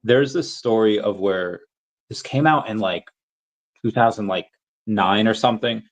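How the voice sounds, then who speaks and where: A man's voice: fairly low pitch, unhurried, low-quality recording. A man hosting a podcast in casual chat, telling long, rambling stories in a loose, conversational way. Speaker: podcast host.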